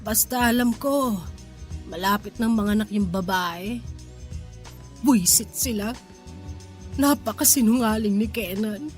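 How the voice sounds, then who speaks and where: An actress in a Filipino radio drama playing a heartbroken, stubborn betrayed wife who is drunk and tearful. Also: female voice, a little high, lightly acted. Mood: bitter, hurt.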